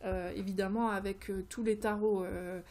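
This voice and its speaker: A woman's voice: medium pitch, fairly even intonation, a little mellow. Hesitant Frenchwoman chatting through a tutorial. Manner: hesitant, halting, searching for words. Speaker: creativity vlogger presenting tarot card ideas. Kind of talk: informal tutorial talk, unscripted.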